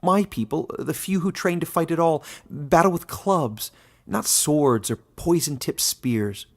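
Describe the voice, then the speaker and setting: A man's voice: a little high, lively intonation, a little slow, voice pushed up. A man voicing an earnest, bewildered young villager in exile, protesting, in a fantasy story. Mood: incredulous. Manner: stammering at times, protesting.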